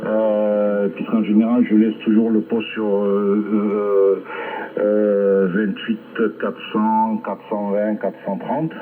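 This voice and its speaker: Low-voiced man over band-limited ham radio. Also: completely flat delivery, phone-quality sound, a little hiss or hum. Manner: hesitant, rambling with long filled pauses. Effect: shortwave ham radio transmission. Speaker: amateur radio operator. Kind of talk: casual ham radio contact chat.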